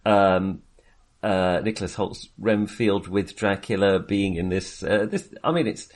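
Male podcast co-host, low voice, casual reviewing style, halting and self-correcting as he thinks aloud. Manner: hesitant, self-correcting, with frequent repeated starts. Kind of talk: casual film review chat.

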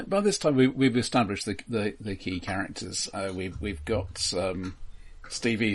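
Man, lowish husky voice, considered, halting, analytical, casual film podcast co-host.

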